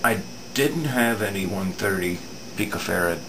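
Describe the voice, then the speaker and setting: A middle-aged man giving an unscripted hands-on repair demonstration in a halting, matter-of-fact way, recorded at an indoor workbench. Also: low voice. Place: indoor home electronics workbench. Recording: steady room hum, air-conditioning noise.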